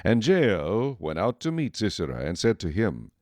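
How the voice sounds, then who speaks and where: A man's voice: lowish voice, wildly swooping pitch, normal speed, a little mellow, dry recording. A man narrating a dramatized Bible story as scripted, solemn storytelling.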